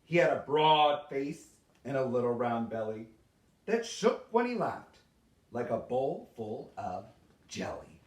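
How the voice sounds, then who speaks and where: A man giving a theatrical poetry recitation as a storyteller, with dramatic, expressive delivery and deliberate pauses. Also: mid-range voice. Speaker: storyteller reading a Christmas poem. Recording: roomy echo, distant microphone.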